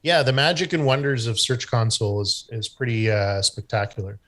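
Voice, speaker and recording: Canadian male SEO guest, easygoing explainer, mid-pitched voice. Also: a little fast. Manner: relaxed and explanatory, with frequent fillers and restarts. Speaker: guest expert on website SEO. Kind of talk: explaining during an online group meeting.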